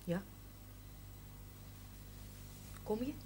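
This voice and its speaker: An actress playing an earnest young bride-to-be pleading as a daughter in a television drama. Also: female voice, fast, dark tone, lightly acted. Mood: concerned. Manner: earnest, coaxing. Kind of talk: naturalistic television drama dialogue. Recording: slightly noisy old television soundtrack.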